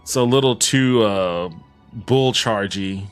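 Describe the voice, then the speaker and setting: Man, low voice, game streamer, casual livestream chat, sweary, music in the background. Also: takes it slowly, smooth.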